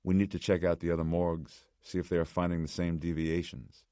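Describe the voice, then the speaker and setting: A man's voice: sits low, level tone, brisk, mellow, clear, resonant tone, dry recording, clean studio sound, natural voice. A man voicing an earnest, inquisitive woman in an audiobook, direct and questioning. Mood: concerned. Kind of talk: audiobook character voice.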